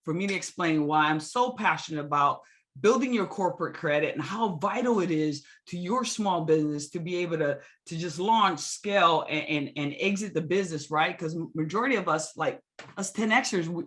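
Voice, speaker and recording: A woman's voice: on the low side, expressive, brisk. Eager middle-aged woman from Hawaii presenting. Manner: enthusiastic, many restarts. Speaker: guest speaker. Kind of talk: webinar presentation. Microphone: video call.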